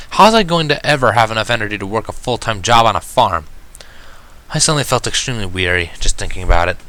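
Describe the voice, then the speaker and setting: A man narrating a first-person story in an expressive, reflective and dramatic way. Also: mid-range voice, dry recording. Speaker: reader of fan fiction. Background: background music.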